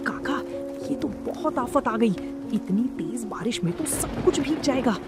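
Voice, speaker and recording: A woman voicing an eager, obedient young village man, polite and earnest, in an animated story. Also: fairly high pitch, a little slow, loud music behind the voice. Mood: alarmed. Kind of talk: Hindi animated story dialogue.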